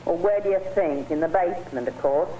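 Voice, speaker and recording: Actress as tartar of a housekeeper, British, impatient, snappish, vintage radio drama, faint background noise. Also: female voice, on the low side, fairly quick, phone-quality sound, close to an everyday voice. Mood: irritated, scolding. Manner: curt, impatient, scolding. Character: sour, snappish female housekeeper, a fair tartar. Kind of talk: old-time radio drama acting. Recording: hissy vintage radio recording.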